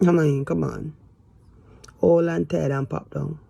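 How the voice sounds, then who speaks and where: A woman in her fifties talking hesitantly to camera in a casual, rambling way, with sighs and trailing pauses. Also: sits low, steady tone, vocal fry, warm tone, husky, no echo. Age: 50s. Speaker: vlogger. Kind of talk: casual confessional talk to camera.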